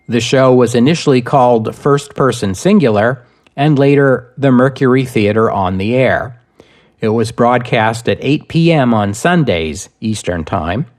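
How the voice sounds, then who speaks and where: A man reading scripted history narration as a podcast host, with measured, deliberate phrasing. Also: mid-range voice.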